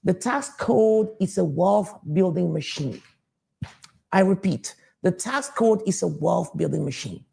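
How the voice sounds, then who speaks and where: A man's voice: high-pitched, lively intonation, measured pace, vocal fry, a little mellow, breathy edge. A man from Cameroon, a tax advisor answering interview questions, confident and repeating his key points with some hesitant fillers.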